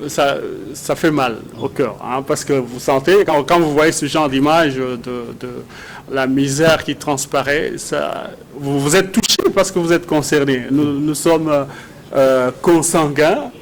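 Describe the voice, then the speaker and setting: A man with a Congolese French accent answering interview questions haltingly, often repeating words as he searches for phrasing. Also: on the high side. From Democratic Republic of the Congo. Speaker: guest, researcher and doctoral student. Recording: clear background noise and ambience.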